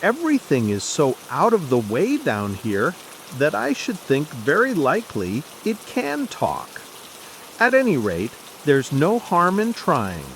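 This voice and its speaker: A man's voice: a little high, huge pitch swings, measured pace, a bit bright, a little hiss or hum, heavily acted. A man voicing a polite, eager, chatty little girl in a storybook reading, soothing and apologetic. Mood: curious, polite. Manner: soothing, hesitant, gushing.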